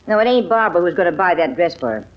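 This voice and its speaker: A woman's voice: lowish voice, expressive, rapid-fire, natural voice. Woman acting folksy american housekeeper, says it straight, old radio comedy, muffled recording. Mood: matter-of-fact. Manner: folksy, frank. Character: plain-spoken, folksy housekeeper with homely good sense.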